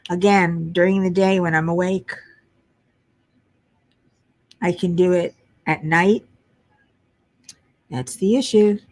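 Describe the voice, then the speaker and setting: Host, woman, middle-aged, naturally low voice, unhurried, sleep-deprived, chatty and rambling. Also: steady tone. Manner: chatty, muttering while searching for pieces. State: tired from lack of sleep. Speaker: crafting livestream host. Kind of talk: casual live chat while diamond painting.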